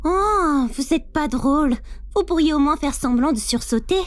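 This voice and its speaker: A woman's voice: high voice, very animated, a little slow, a little mellow. A woman voicing a cheeky, nonchalant young prisoner in a fan dub. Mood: teasing, carefree. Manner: teasing, nonchalant. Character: cheeky, relaxed young female prisoner teasing her jailer. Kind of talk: fan-dub dramatic dialogue.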